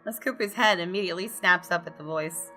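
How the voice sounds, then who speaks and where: A woman's voice: lowish voice, a little fast, sharp tone, faint music behind. A woman reading visual novel narration aloud as a gaming video creator, in a dramatic storytelling way with casual asides.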